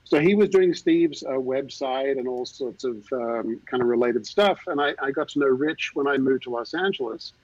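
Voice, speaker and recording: Man with a softened Australian accent. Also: medium pitch, normal speed, hardly any pauses, no room sound, lo-fi sound. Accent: Australian, softened by years in the US. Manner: relaxed storytelling with many fillers. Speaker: guest, art designer. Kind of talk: casual video call chat. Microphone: video call.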